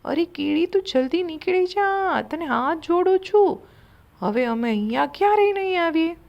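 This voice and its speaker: A woman voicing a loud, exclamatory male elephant herd leader in a children's story. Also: extremely high pitch, expressive, moderate pace, vocal fry, lo-fi sound, voice pushed up, heavily acted. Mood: panicked, pleading.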